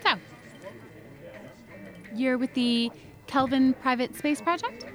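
An actress playing a sharp small-town newspaper reporter in an audio drama, questioning directly and skeptically. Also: female voice, high voice, takes it slowly, soft background music. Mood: dry, casual.

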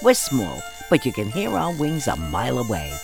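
An actress playing a bossy, blunt, tiny elderly fairy, folksy and wry, in a fairytale audio drama. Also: female voice, extremely low pitch, huge pitch swings, moderate pace, gravelly, music in the background, full character voice. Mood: wry, grumbling. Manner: folksy, wry, plain-spoken.

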